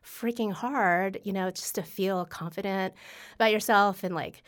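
A woman's voice: mid-range voice, measured pace. A woman in her fifties, a podcast guest in casual chat, who laughs often and jokes about herself. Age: 50s. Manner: laughs often, self-deprecating.

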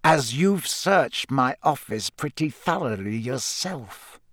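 A man's voice: mid-range voice, animated, slow pace, fairly bright tone, dry recording, studio quality. A man voicing a cold, suspicious male professor for an audiobook, menacing and curt, with clenched, hissing words. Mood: seething. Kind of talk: audiobook character voice.

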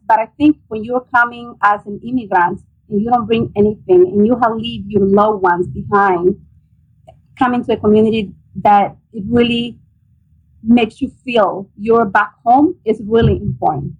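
Guest: earnest Salvadoran-accented woman, forties, raspy, muffled call audio. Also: mid-range voice. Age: 40s. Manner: earnest, hesitant with frequent ums. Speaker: guest, nonprofit director. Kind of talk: interview answers.

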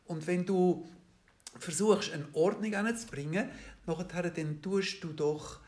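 A man with a Swiss German accent, a guest in an interview, explaining at length in a halting way, with many fillers and restarts, checking in with his listeners as he goes. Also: high voice, a little mellow. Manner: ends points with a questioning "oder?".

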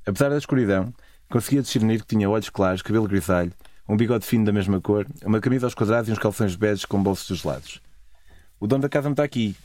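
Guy w european portuguese accent telling his travel story, lowish voice, reads quick, dry close sound. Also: a little mellow, no room sound. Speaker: narrator of own travel stories. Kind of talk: first-person literary storytelling, scripted narration.

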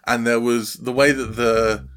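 Male interview guest, mid-range voice. Casual, conversational, halting delivery of someone working out his thoughts as he speaks. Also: mid-range voice, little pitch movement, a bit bright, faint music behind. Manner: halting, thinking aloud. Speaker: audio drama creator interviewed as guest.

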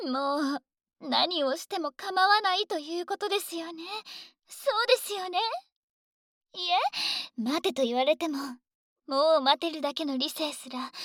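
A woman's voice: very high voice, fairly bright tone, dry recording. A woman voicing a devoted, possessive dragon maid in an ASMR drama, speaking close to the mic in polite, coaxing, intimate words that often slip into whispers. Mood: smitten, eager. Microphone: close microphone.

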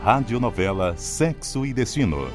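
Animated male radio announcer over music. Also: mid-range voice, normal speed. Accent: Brazilian. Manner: formal, emphatic. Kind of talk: scripted credits announcement.

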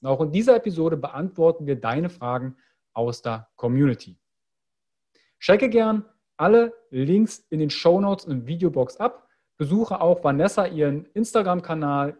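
A man's voice: a little high, darkish tone. A man hosting a health podcast, reading an upbeat promotional intro and then interviewing a guest. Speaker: podcast host and health coach.

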